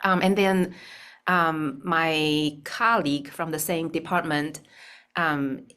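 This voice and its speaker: Soft-spoken woman from Taiwan on a call. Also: deep voice, clear, resonant tone. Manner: thoughtful, many ums and pauses. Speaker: guest, speech-language pathologist. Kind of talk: casual interview talk. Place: bright room indoors. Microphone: video call.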